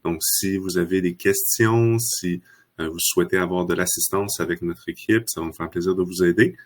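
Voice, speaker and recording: A man, a customer success manager calmly explaining a platform during a webinar. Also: sits low, moderate pace. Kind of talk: webinar presentation.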